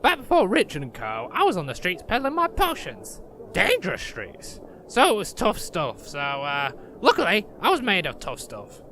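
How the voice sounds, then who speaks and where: Man playing an animated, irritable sweary rogue. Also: high-pitched, normal speed, slight background noise, heavily acted. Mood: boastful, rambling. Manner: cocky, grumbling, rambling. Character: irritable, sweary male rogue potion peddler, boastful. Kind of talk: hobby comedy fantasy audio drama.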